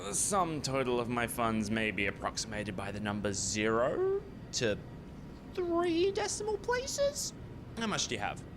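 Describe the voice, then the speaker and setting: Casting: actor as a broke, jokey young male lab sidekick, very animated, radio farce, rumbly noisy sound. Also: a little slow. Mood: joking. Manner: clownish, swooping intonation. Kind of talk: radio play farce acting. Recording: noisy home recording with low rumble.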